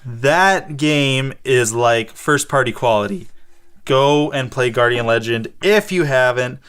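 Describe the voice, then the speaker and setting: An American man chatting casually as a co-host. His voice is smooth and clear at an average pitch. He explains things in a rambling way, often correcting himself mid-sentence. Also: takes it slowly, a bit bright. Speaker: co-host of a gaming show and school teacher. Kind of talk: casual unscripted chat, answering viewer questions.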